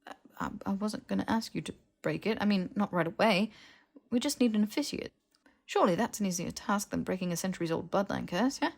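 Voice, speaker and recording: A woman's voice: mid-range voice, lively intonation, brisk, gravelly. A woman plays a young wizard lad in an audiobook story. He blurts things out, protests and stumbles over his words, with a flippant, defensive edge. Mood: earnest, persuading. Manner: stammering, protesting. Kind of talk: fiction audiobook character voice.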